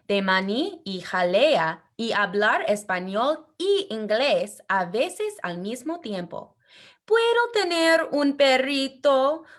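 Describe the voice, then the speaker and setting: A woman co-hosting a children's bilingual read-aloud over a video call, speaking with expressive enthusiasm. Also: mid-range voice. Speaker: bilingual language teacher and read-aloud co-host.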